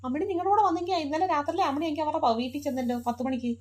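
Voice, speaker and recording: A woman's voice: high-pitched, very fast, darkish tone, close to an everyday voice. A young woman playing a busy mother in a home-made family skit, fussing and scolding. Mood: puzzled. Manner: fussy, nagging, scolding. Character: busy mother who runs a beauty parlour.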